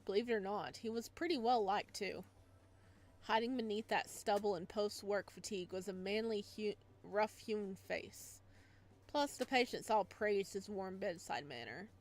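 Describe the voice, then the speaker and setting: A woman's voice: medium pitch, normal speed. A woman hosting a playthrough, reading visual novel narration aloud expressively, with casual asides.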